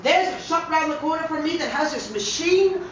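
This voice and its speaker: A woman's voice: high voice, animated, moderate pace, slight background noise, pitched above the performer's own voice. A woman voicing a thrifty, proud elderly widower courting with emphatic declarations, in a dramatic story reading. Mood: proud, enthusiastic. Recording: very echoey room, distant mic, slightly dull.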